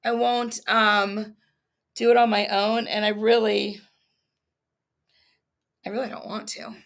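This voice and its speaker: A woman's voice: mid-range voice, little pitch movement, slow, vocal fry. A woman in her fifties speaking on a livestream, defiant and sarcastic, breaking into laughs. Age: 50s. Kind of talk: casual livestream chat.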